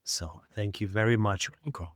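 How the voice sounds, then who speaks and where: A man's voice: lowish voice, moderate pace, no echo, studio quality. A man speaking as a guest on a podcast, earnest and persuasive, often repeating phrases as he builds a point. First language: not English. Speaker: guest author. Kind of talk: podcast conversation.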